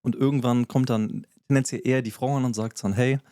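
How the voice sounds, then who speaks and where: A man's voice: medium pitch, fairly even intonation, a little fast, dark tone, clean tone, no room sound, clean studio sound. A man co-hosting a podcast, explaining things in a casual, filler-heavy way. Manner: explanatory, many fillers and restarts. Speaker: podcast co-host.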